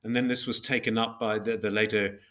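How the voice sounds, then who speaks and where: A man with a fairly low voice speaks as an academic guest in an interview. He explains step by step in a measured, somewhat hesitant way, often reaching for an example. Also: level tone, a bit bright. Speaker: podcast guest, philosopher of biology.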